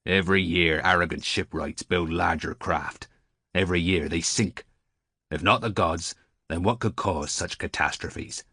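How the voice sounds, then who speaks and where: A man's voice: deep voice, unhurried, sharp tone, breathy edge, dry recording, clean studio sound, full character voice. A man voicing a superstitious old male sailor on a harbour beach, stiff and scornful in manner. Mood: gruff.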